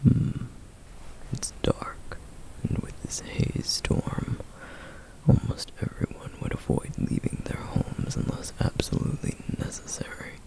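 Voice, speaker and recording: A man voicing a protective, duty-bound male flame demon in a whispered ASMR roleplay, hushed, measured and dryly sardonic. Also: close to an everyday voice. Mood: cautious.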